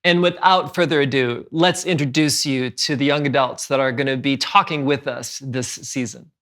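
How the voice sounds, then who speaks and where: A man's voice: mid-range voice, fairly even intonation, moderate pace, a bit bright. A man hosting a podcast in a conversational style, speaking in an earnest, encouraging manner. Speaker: podcast host.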